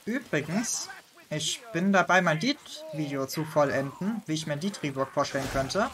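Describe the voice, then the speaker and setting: A man's voice: on the high side, animated, moderate pace, a crowd in the background. A German man hosting a gaming video, chatting casually and laughing often while he plays. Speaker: gaming channel host. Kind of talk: casual gameplay chat.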